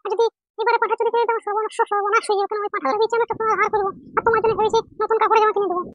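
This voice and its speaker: Cartoon village mother-in-law: extremely high, squeaky, very fast, bossy and nagging. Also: flat delivery, a bit bright. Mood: warning. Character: scolding village mother-in-law, goat owner, bossy and coaxing. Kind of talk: comedy cartoon dialogue.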